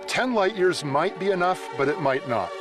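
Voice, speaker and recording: A man giving measured, step-by-step explanations as an expert being interviewed. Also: high-pitched, lively intonation, over music.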